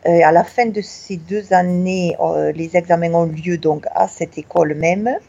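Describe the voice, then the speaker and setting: A middle-aged woman from southwest France with a southwest French accent, a teacher answering interview questions slowly and hesitantly, with many filler pauses. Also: lowish voice, fairly even intonation, gravelly, warm tone, highs cut off. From Tarn, southwest France. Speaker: teacher at a Bavarian high school.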